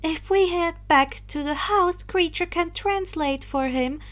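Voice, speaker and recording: A woman's voice: very high voice, unhurried, fairly bright tone, voice pushed up. A woman reading a story as a whimsical, affectionate and teasing teenage witch girl. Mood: gentle, motherly. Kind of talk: audiobook character voice.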